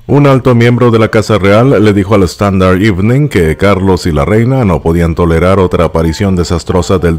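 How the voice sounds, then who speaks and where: A man narrating tabloid news, with playful asides and sudden jumps up in pitch. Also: a little mellow. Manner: jumps up in pitch on aside phrases, uses cute diminutives.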